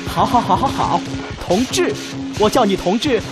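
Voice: mid-range voice, lively intonation, normal speed, music in the background, natural voice. An actor playing an eager sixteen-year-old poor cowherd boy in a radio drama.

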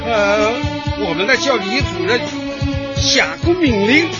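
An actress playing a hesitant, complaining neighbour woman with drawn-out speech in a comedy radio drama. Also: fairly high pitch, animated, highs cut off, over loud music.

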